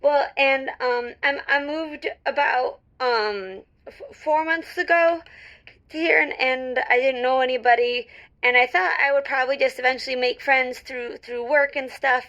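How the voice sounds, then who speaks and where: A woman playing a shy, lonely first-time radio caller in an audio drama, nervous and halting, with frequent stammers, on a slightly dull caller line. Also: high-pitched, fairly bright tone. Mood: sheepish, lonely. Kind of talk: semi-improvised audio drama, call-in show.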